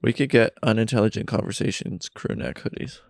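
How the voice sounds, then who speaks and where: A man co-hosting a casual podcast from a friend's basement, bantering and joking quickly. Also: on the low side, gravelly, dry recording, studio quality. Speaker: podcast co-host. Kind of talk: casual chat.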